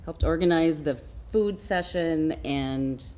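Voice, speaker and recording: A woman's voice: deep voice, slow, warm tone. An American woman giving an informal spoken introduction at a meeting, as a school staff member would. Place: meeting room at a school board session.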